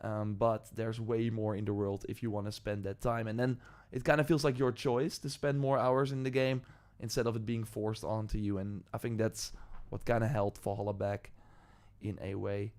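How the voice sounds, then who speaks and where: A man's voice: fairly low pitch, level tone, moderate pace, a little mellow. A man with a Dutch accent, a native Dutch speaker, hosting a live stream, reading viewer comments and rambling loosely with many ums and likes. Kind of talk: casual live-stream talk, reading chat.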